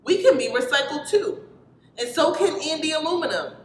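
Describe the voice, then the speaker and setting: A woman voicing eager, chattering female plastic characters in a children's storybook, in an excitable manner. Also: high-pitched, moderate pace, voice pushed up. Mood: excited. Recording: reverberant hall with background noise.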